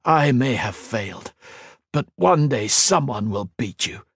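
Man voicing a wounded young hero, defiant, strained, raised pitch, dry sound. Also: animated, a little slow, a bit bright, slightly rough, dry recording, full character voice.

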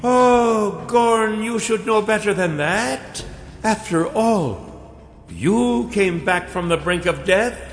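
A man's voice: sits high, animated, takes it slowly, pitched above the performer's own voice. A man voicing a sly, scheming female dragon who speaks coyly and teasingly. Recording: echoey long reverb.

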